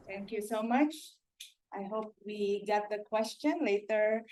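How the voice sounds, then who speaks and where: A woman moderating a panel discussion and taking questions, relaxed and hesitant with many fillers. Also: medium pitch, slow, a little hiss or hum, rough recording.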